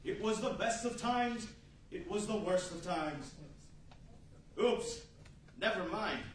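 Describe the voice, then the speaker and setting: A man's voice: high-pitched, takes it slowly. A man playing a theatrical, wry 1940s radio announcer and storyteller on stage. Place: large indoor meeting room with seated audience. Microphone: far camera. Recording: very echoey room from far off, faint noise.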